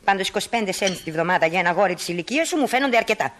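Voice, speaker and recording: A woman's voice: mid-range voice, expressive, fairly bright tone, natural voice. An actress playing a fussing, caring small-town doctor's wife and mother in a radio play. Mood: gently chiding. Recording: noisy, hissy old radio drama recording.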